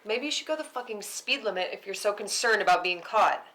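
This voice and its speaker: A woman's voice: medium pitch, lively intonation, a little fast, a bit bright, close to an everyday voice. A woman playing a cocky, defiant, foul-mouthed vigilante at a film script table read, in a noisy live recording. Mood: exhilarated, defiant. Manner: cocky, flippant, sweary.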